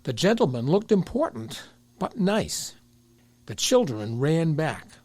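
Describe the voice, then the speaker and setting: A man's voice: fairly high pitch, sing-song, slow pace, darkish tone, dry recording. A man narrating a children's storybook tale as part of an audio drama.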